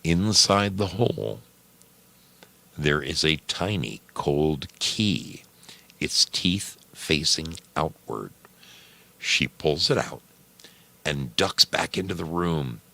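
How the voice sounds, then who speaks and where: A man narrating a story expressively, with measured, dramatic phrasing. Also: a bass voice, animated, creaky, sharp tone.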